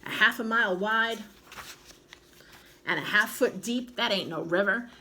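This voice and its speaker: Lady reading aloud as a bossy old grandma, loud and nagging, rural drawl, bit gravelly and husky, sharp tone. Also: mid-range voice, expressive, brisk, pitched above the performer's own voice, heavily acted. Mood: grumbling. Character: cranky scolding old grandmother on the frontier.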